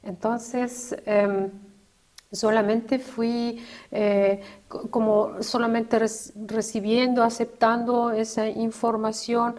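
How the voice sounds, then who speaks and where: Chilean woman, fifties, interview guest; reflective, halting delivery with frequent restarts. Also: fairly high pitch, flat delivery, darkish tone. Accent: Chilean Spanish. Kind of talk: personal testimony in conversation.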